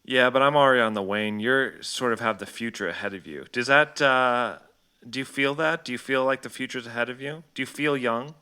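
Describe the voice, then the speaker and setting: A man interviewing someone in a casual, joking way, with a mock-serious manner and halting phrasing as he forms his questions. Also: medium pitch, pushed and bright, smooth.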